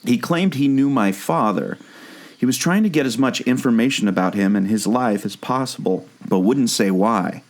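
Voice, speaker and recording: A man's voice: medium pitch, moderate pace, lightly acted. A man playing an earnest, obsessive amateur sleuth and former jungle skipper in a scripted audio drama. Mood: reading aloud. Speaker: podcast co-host.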